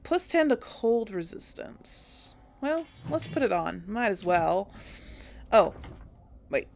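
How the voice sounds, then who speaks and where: A woman's voice: medium pitch, very animated, slow pace, gravelly, darkish tone, a little hiss or hum. A young woman chatting casually through a video game, thinking aloud and correcting herself as she goes. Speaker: let's play host. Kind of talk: casual gameplay commentary.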